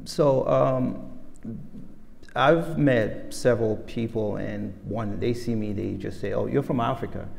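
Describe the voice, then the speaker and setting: Gravelly man. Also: fairly low pitch, darkish tone. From Somalia. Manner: storytelling, unhurried, laughs while telling. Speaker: panelist, farm collective member. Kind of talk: onstage panel conversation. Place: museum auditorium stage, indoors, large. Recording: echoey auditorium with faint ambience.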